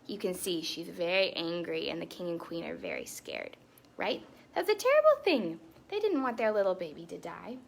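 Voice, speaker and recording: Woman, children's storytime host, expressive read-aloud, lively varied intonation, medium pitch, conversational manner. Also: creaky. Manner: animated, with chatty asides to young listeners.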